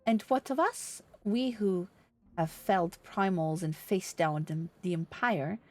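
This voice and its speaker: A woman's voice: fairly low pitch, a little slow, darkish tone, soft background music. A woman reading aloud the lines of an earnest, formal leader of a fantasy order in a video game. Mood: earnest, resolute. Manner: formal, solemn, oratorical.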